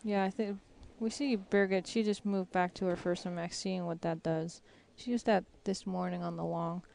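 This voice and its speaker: A woman doing live bowling commentary, quiet and measured, breaking down lanes and ball choices. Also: fairly low pitch, fairly even intonation, gravelly. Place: bowling arena with crowd. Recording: crowd and noise behind.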